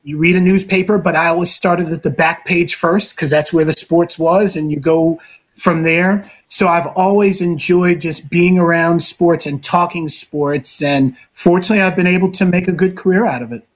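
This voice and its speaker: Guest spot: high-pitched male sports broadcaster, talkative, earnest, a few "uh"s. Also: steady tone. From New York City, Brooklyn. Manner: talkative, earnest, measured with filler pauses. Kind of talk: casual interview answers.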